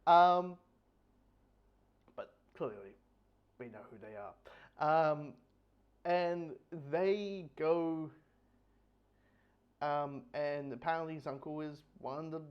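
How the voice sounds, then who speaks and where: A man with a somewhat high-pitched voice talks through a casual review. He rambles and halts, restarting phrases and repeating himself as he goes. The recording is dull and lo-fi. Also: clean tone. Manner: halting, false starts, repeats himself. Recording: dull lo-fi microphone sound.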